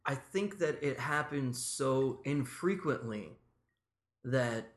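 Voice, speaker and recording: A man's voice: medium pitch, animated, takes it slowly. A man hosting a podcast, talking casually and explaining his views at length. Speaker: podcast host. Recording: roomy echo.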